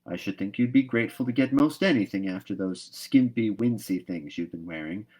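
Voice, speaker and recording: A man's voice: fairly low pitch, darkish tone, slightly rough. Man reading as a stern, practical older woman. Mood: stern, sniffing. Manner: brisk, scolding, emphatic.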